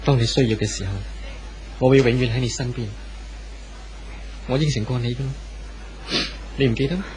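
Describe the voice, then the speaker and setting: A man acting as a gentle, protective romantic lead speaks in a medium-pitched voice with a Hong Kong Cantonese accent. He is steadfast, reassuring and unhurried, in old radio drama style over faint background noise. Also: steady tone, close to an everyday voice. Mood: tender.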